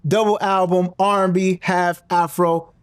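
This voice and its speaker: A man's voice: high voice, a little slow, clean tone, no room sound. Confident man, dry close sound. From Nigerian-American. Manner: animated, confident, with frequent restarts. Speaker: interview guest, singer and actor. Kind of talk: casual interview talk.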